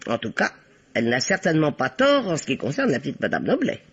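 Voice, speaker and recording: An actress playing a gossipy amateur sleuth with a dry, mocking manner in a radio mystery. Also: female voice, deep voice, wildly swooping pitch, quick pace, slightly rough, heavily acted. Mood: wry, conspiratorial. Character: wry, gossipy lady amateur sleuth named Blanche. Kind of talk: old French radio mystery acting. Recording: dull, noisy old radio recording.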